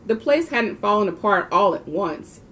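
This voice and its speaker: A woman's voice: medium pitch, big pitch swings, normal speed, fairly bright tone, a little hiss or hum. A woman reading a children's book aloud expressively, with the occasional small stumble. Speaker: volunteer reader of a children's book. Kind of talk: storybook read-aloud.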